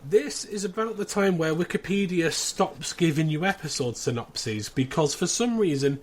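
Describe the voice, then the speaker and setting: A man's voice: on the high side, slight background noise. British man, chatty and wry. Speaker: podcast host. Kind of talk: casual recap of a show's plot.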